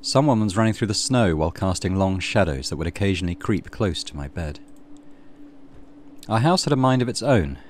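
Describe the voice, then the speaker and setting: A man's voice: low-pitched, a little hiss or hum. A man hosting a let's play, reading story narration aloud with casual commentary.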